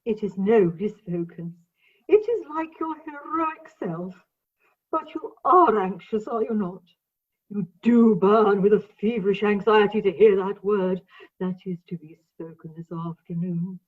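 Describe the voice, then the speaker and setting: A woman's voice: mid-range voice, measured pace, husky. Tinny-sounding melodramatic tragic woman. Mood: mournful, fawning. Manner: theatrical, grandiloquent declamation. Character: melodramatic jealous tragic woman, grandly wronged and suspicious. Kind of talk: comic stage melodrama in a radio play. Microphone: video call. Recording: tinny, band-limited video-call recording.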